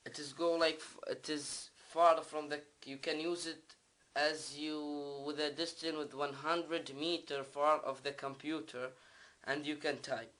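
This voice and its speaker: A man from the Middle East, a native Arabic speaker with an Arabic accent, giving a casual unboxing demonstration in simple, halting, non-native phrasing. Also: fairly high pitch, little pitch movement, a bit bright. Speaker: video creator, product reviewer. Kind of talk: casual unboxing vlog, talking while demonstrating.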